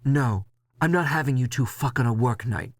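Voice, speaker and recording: A man's voice: mid-range voice, animated, moderate pace, voice pushed up. A man voicing a stern, commanding male crew boss in an audio drama, exasperated and lecturing. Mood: firm, dismissive.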